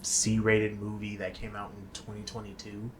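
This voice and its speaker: A man co-hosting a casual chat show, talking in a slow, laid-back way and often trailing off to restart his sentences. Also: fairly low pitch, completely flat delivery, slight background noise. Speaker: podcast co-host. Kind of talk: casual chat reviewing a movie.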